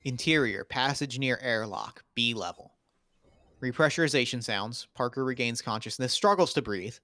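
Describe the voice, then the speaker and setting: A man's voice: mid-range voice, moderate pace, pushed and bright, dry recording. A man reading screenplay stage directions in a dramatic table read.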